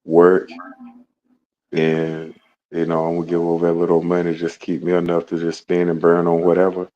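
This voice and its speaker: Man, late forties, very deep voice, rambling casual talk, muffled lo-fi recording. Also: monotone, normal speed, dark tone. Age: 40s. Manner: rambling, many restarts and "you know what I mean". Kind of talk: casual chat. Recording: dull lo-fi sound.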